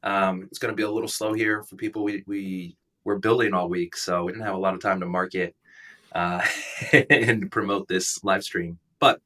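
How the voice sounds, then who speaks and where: Guy in his 30s, raspy and stuffy, lowish voice, kinda bright, sounds a bit far from the mic, rambly self-deprecating host. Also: fairly even intonation, moderate pace. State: raspy from allergies, stuffy nose. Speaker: livestream host. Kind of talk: casual livestream chat.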